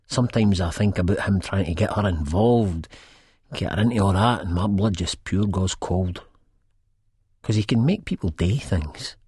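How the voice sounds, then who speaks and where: A good-natured, drug-addled Scot from Edinburgh, narrating an audiobook in character. His voice is low and rough-edged, with a husky grain. He rambles in thick local slang, in a dry, close recording. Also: a little mellow, no echo, heavily acted. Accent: Edinburgh Scottish. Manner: colloquial, rambling, peppered with "man". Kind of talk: first-person audiobook narration, acted in character.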